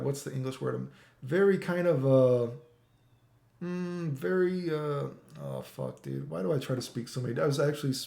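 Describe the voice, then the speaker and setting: A man's voice: mid-range voice, vocal fry, dark tone. A middle-aged man from Canada giving an off-the-cuff, opinionated rant, exasperated and emphatic, with repetition, rhetorical questions and swearing. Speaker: gaming commentator. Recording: echoey, roomy sound.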